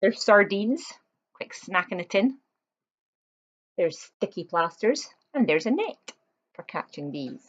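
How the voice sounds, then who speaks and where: A woman's voice: medium pitch, sing-song, takes it slowly, long pauses, lo-fi sound. A woman reading a children's picture book aloud, expressively, with chatty explanatory asides to young listeners.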